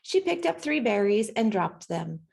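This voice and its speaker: Woman, storybook read-aloud, very animated pitch, slightly husky and creaky, expressive delivery. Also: unhurried. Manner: animated, acts out sounds and dialogue. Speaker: storybook reader. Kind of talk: expressive children's picture-book read-aloud.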